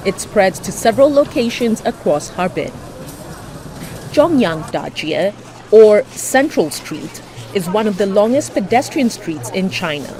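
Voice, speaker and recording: A woman reporter narrating a scripted news feature in a lively, animated way. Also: mid-range voice. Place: outdoors in a snowy city.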